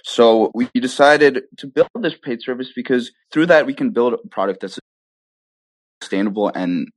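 A man's voice: medium pitch, normal speed, dry recording, rough recording. A young man answering interview questions casually and enthusiastically, often checking in with "right?" and "you know". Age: young adult. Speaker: interview guest, tech startup founder.

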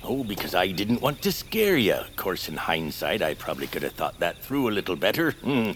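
An actor playing an eccentric, socially awkward bearded hermit creature in a family audio drama, rambling and friendly. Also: male voice, low-pitched, very animated, a little fast, a bit bright, slight background noise, exaggerated voice. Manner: rambling, muttering, quirky. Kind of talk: family audio fiction character acting.

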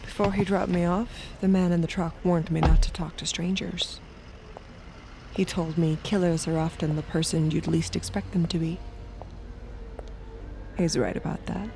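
An actress playing an enigmatic, detached young escort in an audio drama, soft and measured, with a naive, dangerous edge. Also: female voice, fairly low pitch, fairly even intonation, creaky, noisy background. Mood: pensive, intimate. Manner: soft, measured, sparse short replies. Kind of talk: first-person audio drama narration and dialogue.

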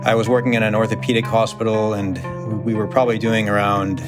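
An American man, a surgeon, recalling his work in a thoughtful, halting way with fillers. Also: on the low side, flat delivery, over music. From United States. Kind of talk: interview recollection.

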